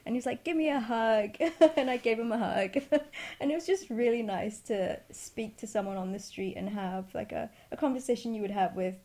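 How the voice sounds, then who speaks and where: A woman's voice: on the high side, normal speed, hardly any pauses, darkish tone. A woman from Egypt speaking as an interview guest, telling things casually and hesitantly as she searches for her words. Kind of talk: casual conversational storytelling.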